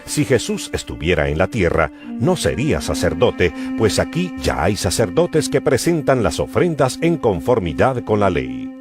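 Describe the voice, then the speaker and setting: Male scripture narrator. Deep, highly expressive, declamatory delivery over clear background music. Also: normal speed, few pauses.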